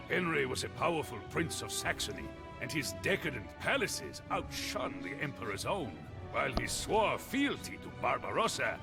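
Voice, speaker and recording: An actor playing a theatrical storyteller of medieval history in a video game, savoring his phrases in a dramatic, deliberate delivery. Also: mid-range voice, creaky. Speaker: narrator.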